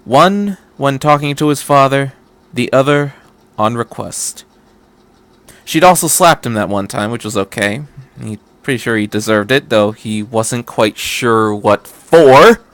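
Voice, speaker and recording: A man narrates a story as an amateur reader. His voice sits at a medium pitch and moves with animated intonation. The recording is dry and close, with very little room sound. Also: unhurried, no room sound. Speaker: amateur fan fiction reader.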